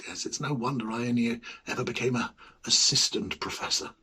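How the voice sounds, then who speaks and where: Husky, hesitant ailing academic played by a man. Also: mid-range voice, steady tone, a little fast, sharp tone. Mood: wistful curiosity. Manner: hesitant, musing, theatrical, with murmured asides and swelling emphasis. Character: ailing, lonely, fussy bachelor academic, anxiously curious diarist. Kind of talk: dramatic monologue, performed reading of a classic ghost story.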